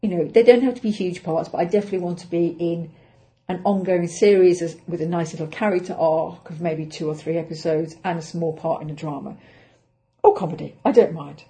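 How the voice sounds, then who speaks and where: A woman's voice: on the low side, fairly quick, warm tone. A middle-aged English woman, an actress, talking through her week on a casual solo podcast in a chatty, self-deprecating way, thinking aloud as she goes. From England.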